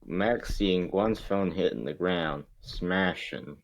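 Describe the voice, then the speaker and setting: A man's voice: deep voice, slow pace, gravelly, a little hiss or hum, rough recording. A man narrating stage directions in an amateur table read, stumbling now and then over the script.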